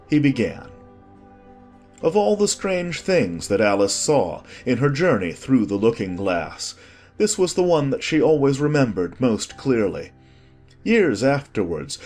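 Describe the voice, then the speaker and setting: A man's voice: mid-range voice, darkish tone. A man narrating a dramatized audiobook in scripted storytelling style, with music behind him.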